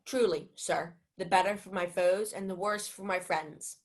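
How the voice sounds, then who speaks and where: Young woman playing a cheeky low-voiced clown. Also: steady tone, moderate pace, close to an everyday voice. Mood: cheeky, wheedling. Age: young adult. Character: cheeky, witty, money-wheedling household clown. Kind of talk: 1940s radio play Shakespeare acting.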